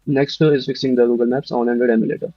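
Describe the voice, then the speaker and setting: Young guy walking thru his app demo, steady w/ some uh's, lo-fi dead-dry sound. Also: mid-range voice, rapid-fire, dry recording, soft background music. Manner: steady explanatory delivery with "uh" fillers. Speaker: student intern presenting an app. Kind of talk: screen-recorded project demo. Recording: dull dry lo-fi recording.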